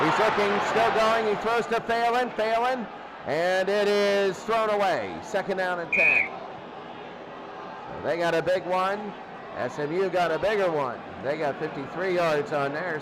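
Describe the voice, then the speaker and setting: A man doing live play-by-play football commentary, excitable and rising to shouts on big plays. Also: high-pitched. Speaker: play-by-play announcer. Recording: loud crowd and music behind. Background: crowd noise and music.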